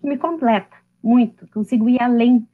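A woman in her late thirties is chatting on a podcast, her voice sitting fairly high. She jokes at her own expense and laughs easily. The recording is dull and lo-fi. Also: moderate pace. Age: 30s. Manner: chatty, self-deprecating, laughs often. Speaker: podcast guest. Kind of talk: casual interview.

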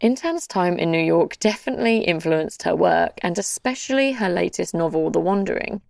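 A woman's voice: lowish voice, animated, brisk, vocal fry, a little mellow, no room sound, studio quality, recorded from a distance. A woman hosting an interview show, reading scripted narration.

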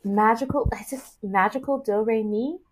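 A woman's voice: a little high, moderate pace, rough recording. A young adult woman as a podcast guest, chatting casually and enthusiastically about anime in an informal way.

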